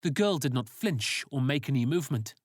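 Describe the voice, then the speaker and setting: A man's voice: mid-range voice, lively intonation, brisk, no echo, clean studio sound. A man reading scripted sci-fi story narration.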